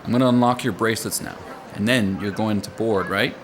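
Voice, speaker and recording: A man's voice: fairly low pitch, fairly quick, background noise, lightly acted. A man playing a smug, authoritative government agent in a radio drama. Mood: mocking authority.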